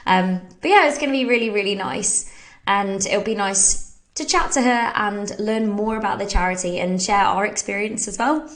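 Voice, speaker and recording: A woman's voice: medium pitch, normal speed, fairly bright tone. An English woman hosting a fitness Q&A, chatty and upbeat, who laughs often, sounding a bit bunged up from hay fever while she talks through exercise technique. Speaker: fitness coach and podcast host. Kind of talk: casual live Q&A, talking through exercise technique.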